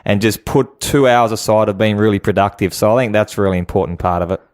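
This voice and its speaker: Aussie bloke giving chatty business advice, lowish voice, really dry sound. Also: male voice, fairly even intonation, quick pace, darkish tone, no echo. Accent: Australian. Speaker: guest, home-business coach. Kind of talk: conversational advice.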